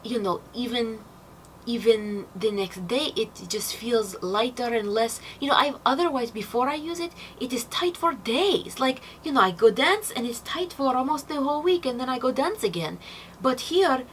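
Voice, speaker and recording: Young female presenter, higher-pitched voice, informal testimonial storytelling, acts out quotes. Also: moderate pace, a bit bright, slight background noise. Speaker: speaker presenting product testimonials. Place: small decorated indoor set.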